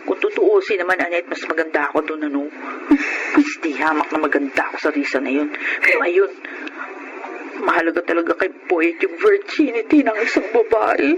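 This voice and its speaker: An actress playing a heartbroken, candid and excitable young Filipina in an emotive Filipino radio drama. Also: female voice, fairly low pitch, normal speed, dull audio, background noise, close to an everyday voice. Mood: wistful, bitter. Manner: emotive, exclamatory.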